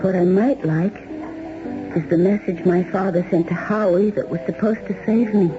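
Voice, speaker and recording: A woman's voice: lowish voice, steady tone, normal speed, natural voice. An actress playing a wry, guarded young woman who longs for freedom in a 1970s radio drama. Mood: wry, wistful. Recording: muffled narrow-band old radio, music behind.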